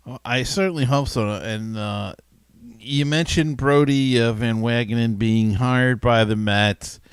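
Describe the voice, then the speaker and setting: A man's voice: medium pitch, measured pace, smooth, dry recording. A man hosting a casual sports podcast interview, offering his own opinions as he thinks aloud. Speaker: podcast host.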